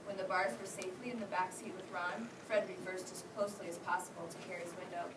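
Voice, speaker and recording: A woman's voice: on the low side, little pitch movement, quick pace. A woman schoolteacher reading aloud to her class and prompting the children with questions. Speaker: elementary school teacher. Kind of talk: interactive read-aloud to a class. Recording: roomy, distant mic, clearly noisy.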